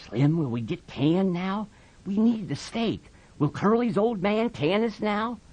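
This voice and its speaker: A man's voice: sits high, animated, measured pace, darkish tone. A man voicing an anxious, protective ranch hand in an audiobook, insistent and urgent. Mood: worried. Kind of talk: dramatised audiobook of a novel.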